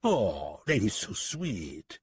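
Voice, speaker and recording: A man performs a French gunman from a western audiobook. He has a French accent and a mocking, swaggering, unhurried delivery. The voice rides higher than plain narration and sounds dry. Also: sing-song, darkish tone, breathy edge, no room sound. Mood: sly, boastful. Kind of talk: western audiobook character acting.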